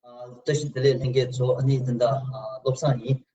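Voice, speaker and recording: Fast-talking man, muffled and distant. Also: mid-range voice, little pitch movement, dark tone, a little hiss or hum. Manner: rapid, halting, with restarts and simple grammar. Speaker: community leader and guest. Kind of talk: casual self-introduction. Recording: dull, distant mic.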